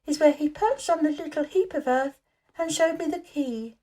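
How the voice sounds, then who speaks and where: Woman as a young storybook girl, high voice, eager, breathless, secretive, urgent. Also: normal speed, mellow, close to an everyday voice. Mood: solemn delight. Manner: breathless, urgent, solemn delight.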